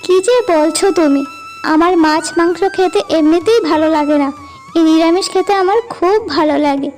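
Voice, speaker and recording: Woman playing very high-pitched caring cartoon housewife. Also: moderate pace, a little mellow. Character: gentle, caring, uncomplaining young village housewife. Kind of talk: cartoon dialogue acting. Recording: music bed behind voice.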